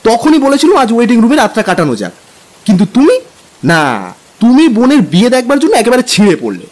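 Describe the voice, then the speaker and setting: A man acting an animated, high-voiced young husband. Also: brisk, full character voice. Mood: exasperated. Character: young middle-class husband, grumbling, exasperated traveller. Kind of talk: audio drama acting.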